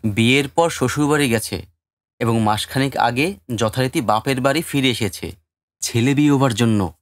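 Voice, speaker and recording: A man's voice: medium pitch, normal speed, dry recording, clean studio sound, close to an everyday voice. An actor playing a curious, gossiping village man in audio drama dialogue.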